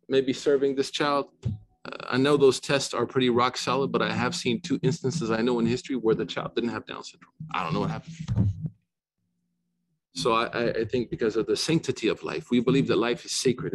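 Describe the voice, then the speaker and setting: A man's voice: medium pitch, slight background noise, poor audio. A middle-aged man giving an informal lecture on Islamic rulings, talking conversationally with many restarts and asides. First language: not Arabic.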